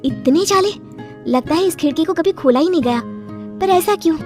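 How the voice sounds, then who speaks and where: Frightened young bride, woman voiced, cartoon, breathless, swooping pitch. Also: lively intonation, normal speed, darkish tone, faint music behind. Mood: curious. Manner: breathless and alarmed, voice jumping high in fright. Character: young newlywed woman, timid and easily frightened. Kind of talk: cartoon voice acting.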